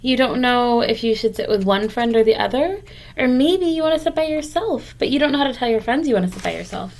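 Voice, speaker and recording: A woman's voice: a little high, expressive, a little fast, darkish tone. A woman teacher reading a picture book aloud to young children, explaining and encouraging them in simple, direct words.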